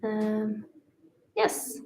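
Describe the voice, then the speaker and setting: A woman's voice: medium pitch, very animated, drawn-out words, vocal fry, a little mellow, slight background noise. Latvian-born woman, russian is her first language, lots of ums, echoey room. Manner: hesitant, many fillers and restarts. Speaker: livestream co-host. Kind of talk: casual livestream chat.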